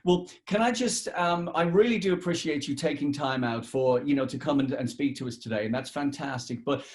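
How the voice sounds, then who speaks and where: Chatty man, higher-pitched voice. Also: a little fast. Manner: chatty, rambling. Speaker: radio interviewer. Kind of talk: informal interview questions.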